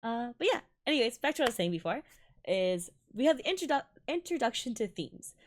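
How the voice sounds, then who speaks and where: A young adult woman whose first languages are Malayalam and Tamil, a violinist giving a bubbly, excitable casual breakdown of music and laughing often. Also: on the high side, brisk, a little hiss or hum. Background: faint music playing.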